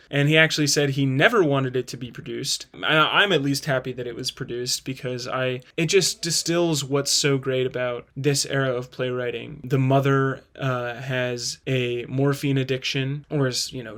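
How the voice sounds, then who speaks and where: A man's voice: medium pitch, fairly bright tone. A man hosting a video, giving earnest, casual, conversational commentary. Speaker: host of a play-ranking video.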